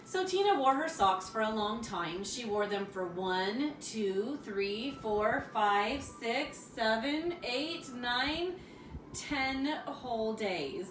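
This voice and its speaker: A woman reading a children's story aloud in an expressive, rhythmic storytelling manner. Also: fairly high pitch, takes it slowly, slight background noise. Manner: expressive, rhythmic with repetition and sound words. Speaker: storybook reader. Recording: roomy, distant mic, music behind. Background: music.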